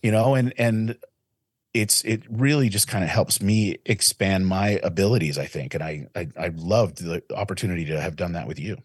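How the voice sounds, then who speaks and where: A man in his forties with a very low, husky voice. He speaks earnestly and reflectively, often circling back and restarting his sentences. The sound is dry and close, with no room around him. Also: moderate pace, no room sound. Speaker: interventionist guest. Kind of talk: casual interview talk.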